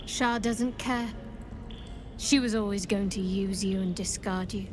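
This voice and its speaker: A woman's voice: mid-range voice, steady tone, unhurried, noisy background, natural voice. An actress playing a defiant, wounded young woman in a video game, with a terse, blunt and intense delivery. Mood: bitter, defiant. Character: defiant, wounded young woman confronting her former mentor. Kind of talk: dramatic video game voice acting.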